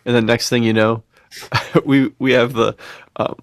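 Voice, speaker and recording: A man's voice: medium pitch, flat delivery, unhurried, dry recording, clean studio sound. A man co-hosting a podcast, telling stories in an enthusiastic, slangy, laid-back casual chat. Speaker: podcast co-host.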